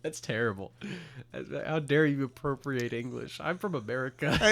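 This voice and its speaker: A man's voice: medium pitch, big pitch swings, a little slow, vocal fry, fairly bright tone. A young man with a light Southern accent, co-hosting a casual podcast chat and laughing often. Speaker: podcast co-host.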